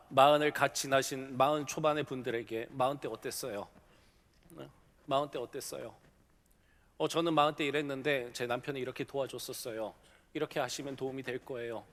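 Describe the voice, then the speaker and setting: A middle-aged male pastor preaching a sermon, telling a story and dropping from loud to hushed. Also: medium pitch. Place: large church sanctuary. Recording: long hall reverb.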